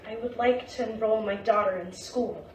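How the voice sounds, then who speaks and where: A woman's voice: on the high side, fairly even intonation, moderate pace, natural voice. A woman acting an earnest Japanese immigrant mother, polite and insistent, in a stage play reading. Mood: earnest, pleading. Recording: echoey room, distant mic, faint noise.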